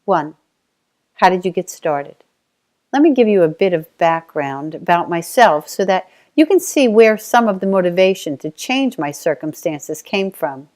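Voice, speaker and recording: Lower-voiced Australian woman reading her story. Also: expressive, unhurried, darkish tone. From Australia (Tasmania). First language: Auslan (sign language). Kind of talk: reading a personal life story.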